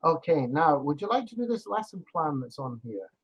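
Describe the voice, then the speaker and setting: A man's voice: on the high side, big pitch swings, moderate pace, slightly rough, lo-fi sound. An older man with a Scottish accent, chatting as an online English tutor, explaining with examples and laughing easily. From Scotland. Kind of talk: casual conversation lesson.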